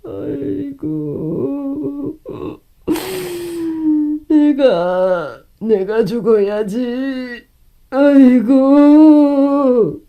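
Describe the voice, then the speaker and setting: Elderly mother figure, slow gravelly wailing, thin lo-fi sound, voiced by a woman. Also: high voice, voice pushed up, heavily acted. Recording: tinny phone-like sound.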